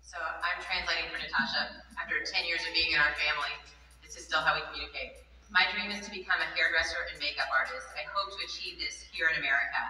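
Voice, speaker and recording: Lady translating on stage, low bright voice, soft music, big roomy hall. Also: fairly even intonation, fast. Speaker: interpreter for a family member on stage. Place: theatre stage. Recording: echoey hall, mic far off.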